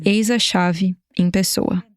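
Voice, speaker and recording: Measured Brazilian woman podcast narrator. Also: lowish voice, fairly even intonation, no room sound, studio quality. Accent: Brazilian Portuguese. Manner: measured, explanatory delivery. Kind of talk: scripted narration.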